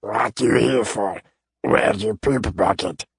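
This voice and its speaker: A man's voice: lowish voice, slow, gravelly, husky, no room sound, studio quality, heavily acted. A man voicing a bullying male kobold guard with a mocking, taunting way of talking. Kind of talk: audiobook character voice.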